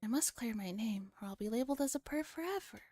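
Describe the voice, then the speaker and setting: A woman's voice: on the high side, lively intonation, quick pace, dark tone, no room sound, clean studio sound, faint music behind. A woman voicing a pompous, suspicious young would-be mad scientist in a visual novel read-aloud. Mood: wary, brooding. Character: dramatic paranoid young man, self-styled mad scientist.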